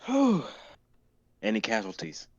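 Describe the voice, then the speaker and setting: A man's voice: medium pitch, huge pitch swings, unhurried, darkish tone, breathy edge, lo-fi sound, full character voice. A young man playing a brooding, doubtful younger brother in an amateur livestreamed drama reading. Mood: relieved, breathless. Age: young adult. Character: Damien, brooding 22-year-old tortured artist type, doubtful younger brother.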